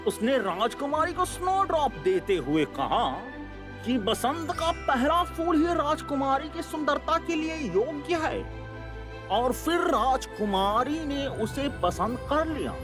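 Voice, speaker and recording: A man voicing a sentimental, theatrical cartoon animal storyteller with a romantic heart and a drawn-out delivery. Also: extremely high pitch, expressive, music in the background, exaggerated voice. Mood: storytelling.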